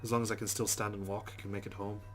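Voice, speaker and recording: A man's voice: on the low side, level tone, rapid-fire, over loud music, close to an everyday voice. A man reading the first-person thoughts of a rueful young Irish woman in a visual novel, with a put-on Irish accent. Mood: wry.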